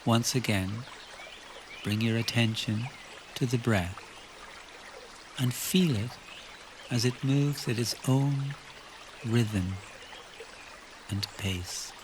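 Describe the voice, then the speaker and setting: Slow-speaking man guiding meditation over trickling water. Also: medium pitch, noisy background. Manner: slow, short phrases with long pauses, instructing calmly. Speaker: meditation guide. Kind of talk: guided relaxation meditation.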